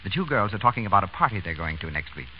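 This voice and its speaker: An American man announcing and pitching a sponsor's product in scripted old-time radio style. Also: very animated, very fast. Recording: muffled, hissy old radio broadcast.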